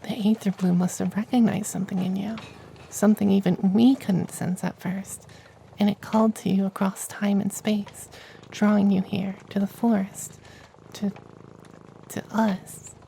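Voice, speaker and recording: Casting: actor for a powerful, soothing femboy witch, soft ASMR delivery with even intonation and gravelly edges, faint noise behind. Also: mid-range voice, poor audio. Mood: awed. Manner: hushed, partly whispered, slow and soothing. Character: tender, powerful femboy witch of a magical forest. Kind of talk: ASMR fantasy roleplay.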